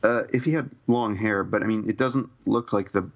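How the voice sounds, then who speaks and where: Gravelly low-voiced man on a podcast. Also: moderate pace. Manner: hedging, thinks aloud with many ums. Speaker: podcast co-host. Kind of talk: casual chat.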